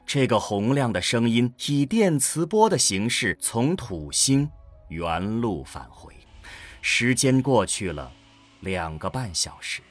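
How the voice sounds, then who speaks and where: A man with a husky voice narrating a scripted science-fiction audio drama. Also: mid-range voice, very animated, slow pace, soft background music.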